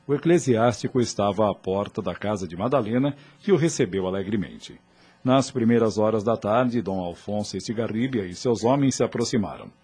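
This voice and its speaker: A man's voice: lowish voice, darkish tone, breathy edge. A man with a Brazilian accent narrating a radio drama in a formal, literary, scripted style. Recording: music under the voice.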